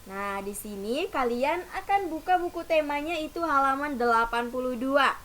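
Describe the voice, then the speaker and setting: A woman's voice: sits high, lively intonation, normal speed, fairly bright tone. A woman teacher giving a patient lesson to young children, often opening sentences with "nah". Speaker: primary school teacher. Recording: faint hiss.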